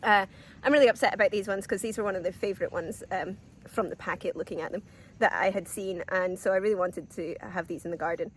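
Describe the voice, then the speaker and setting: A woman's voice: mid-range voice, fast, a little hiss or hum. A woman with a cold, a bit sniffly, chatting casually through a walk-through of her allotment garden with lots of ums and little laughs. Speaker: gardening vlogger.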